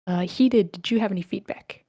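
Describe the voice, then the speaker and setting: A woman's voice: medium pitch, moderate pace, warm tone, no echo, studio quality. A woman casually hosting an online writers' group, calling on people and reading comments aloud. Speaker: host moderating a writers' feedback session. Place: live online meeting room.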